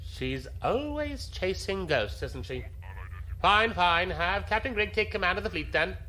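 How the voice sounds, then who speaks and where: A pompous imperial commodore played by a man for a science fiction audio drama. His voice is high and bright, and he speaks with haughty self-assurance. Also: animated, full character voice. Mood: dismissive. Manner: pompous, drawn-out tag questions.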